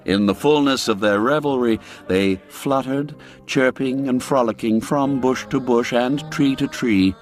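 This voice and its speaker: A man narrating a literary story as an audiobook, in an expressive, measured, theatrical storytelling manner with deliberate phrasing. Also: medium pitch, soft background music.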